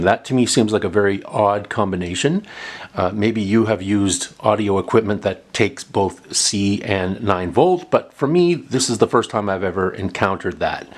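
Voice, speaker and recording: A man hosting a gadget review, talking casually and unscripted, explaining things and often backtracking or repeating himself. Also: on the low side. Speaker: host of a gadget review channel. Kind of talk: casual, unscripted product demonstration.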